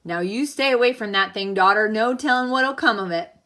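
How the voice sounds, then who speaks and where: A woman's voice: medium pitch, a little fast, pushed and bright, close to an everyday voice. Woman reading as a stern farmer father. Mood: stern warning. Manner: firm, no-nonsense warnings.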